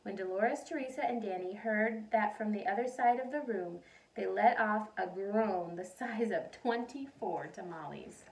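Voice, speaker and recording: A woman reading a storybook aloud to children in an expressive, animated way. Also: medium pitch, unhurried, gravelly. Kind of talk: expressive storybook read-aloud with questions to listeners. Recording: roomy echo, distant mic.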